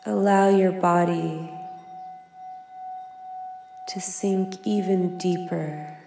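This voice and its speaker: Very slow, reverberant woman guiding meditation. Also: lowish voice, steady tone, vocal fry. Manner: soft, soothing, unhurried with long pauses. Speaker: meditation guide. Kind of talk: guided meditation. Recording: long echoing reverb. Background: singing bowls and ambient music.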